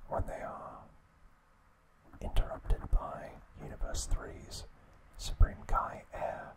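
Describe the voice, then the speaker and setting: A man whispering an ASMR trivia recap in a breathy, unvoiced, hushed voice with gentle, halting pauses. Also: rough recording. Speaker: ASMR host.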